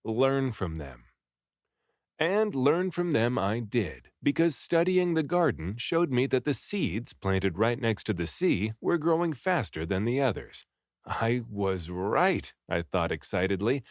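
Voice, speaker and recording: A man narrates an adventure story with lively, swooping intonation. He reads expressively, playing up the first-person hero's words. The recording is dry and close, with little room sound. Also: medium pitch, measured pace, no echo. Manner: animated, dramatic, acts out the first-person hero. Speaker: audiobook reader. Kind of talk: expressive scripted story narration, read aloud.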